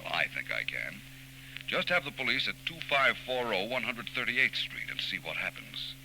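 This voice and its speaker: Assured sleuth, low voice, lively intonation, courteous and knowing, 1940s radio mystery, noisy recording. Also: male voice, creaky, full character voice. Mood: confident, knowing. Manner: assured, polite, unhurried deduction. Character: confident, urbane amateur detective, quietly knowing. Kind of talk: 1940s radio detective drama acting.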